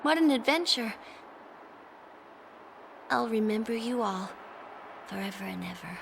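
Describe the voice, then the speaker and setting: Slow, wistful young adventuress. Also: female voice, a little high, animated, gravelly, slight background noise, rough recording. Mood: wistful farewell. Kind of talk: dubbed video game cutscene acting.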